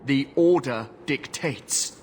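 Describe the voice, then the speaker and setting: An actor playing a stern, dutiful knight who distrusts mages in a fantasy video game, stiff and formal. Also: male voice, medium pitch, slow pace, a little mellow, a little hiss or hum, natural voice. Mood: hostile. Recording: clear battle ambience behind voice.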